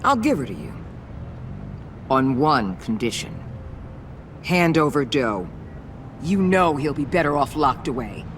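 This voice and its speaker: A woman's voice: deep voice, big pitch swings, unhurried, pauses often, slight background noise. A woman playing a hard-nosed, ruthless agency boss in a video game, commanding and scornful. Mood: calculating. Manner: commanding, cutting, sarcastic.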